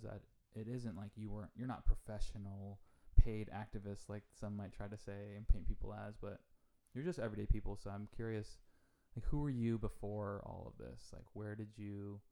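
A man hosting a podcast interview, asking curious, animated questions with many "like"s. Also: on the low side, gravelly. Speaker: podcast host.

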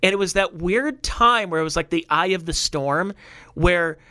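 A man in his thirties, a comedian chatting casually on a podcast, animated and sarcastic, laughing often. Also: high-pitched, moderate pace, clean tone, no room sound.

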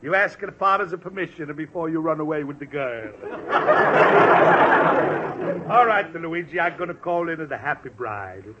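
A jolly Italian papa. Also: male voice, lively intonation, unhurried, long pauses. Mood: jovial. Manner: booming, broken English, jovial. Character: boisterous Italian immigrant father, jolly and bossy. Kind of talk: 1950s radio comedy acting. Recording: dull lo-fi old radio recording with loud hiss.

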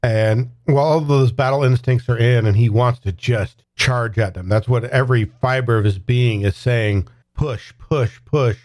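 Guy player in a tabletop rpg describing his character, middle pitch, dry close recording. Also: moderate pace, few pauses, a little mellow, dry recording. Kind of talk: improvised in-character storytelling.

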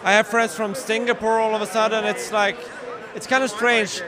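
Guest, man from Sweden, high pitch, chatty with restarts; crowded bar behind. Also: flat delivery, moderate pace, crowd noise. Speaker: guest, toy collector. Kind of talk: casual chat. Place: busy hotel bar.

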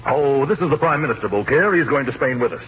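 An actor playing a headstrong, mocking French duke with a commanding, theatrical manner in a 1940s radio comedy. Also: male voice, medium pitch, animated, fast talker, music in the background, lightly acted. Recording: muffled, noisy 1940s radio broadcast.

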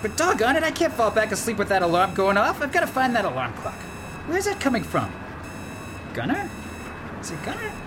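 A man playing an earnest, excitable, sweetly fussy band leader in a comedic radio play, theatrical and exclamatory. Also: high voice, brisk, background noise. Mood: bewildered, annoyed. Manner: theatrical, exclamatory, talks to himself.

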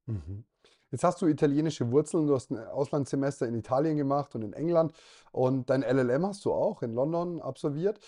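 A man hosting a law podcast, welcoming a guest and asking polite, measured questions. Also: mid-range voice, lively intonation, dark tone. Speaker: podcast host. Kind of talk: interviewing a guest.

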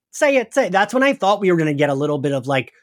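A man speaks in relaxed, informal conversation as a podcast co-host. He is chatty and reactive, talking as he would among friends. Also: sits high, quick pace. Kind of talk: casual chat.

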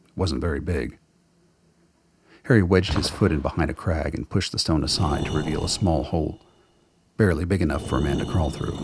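A man narrating a scripted radio drama in a measured, wry storytelling manner. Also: rumbling low, husky, no room sound.

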